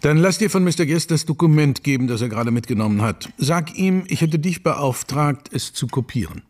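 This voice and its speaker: An actor playing a sober, loyal gentleman lawyer in a German radio drama, with a husky voice and a formal, precise manner. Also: fairly high pitch, lively intonation, a little fast, lightly acted. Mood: businesslike.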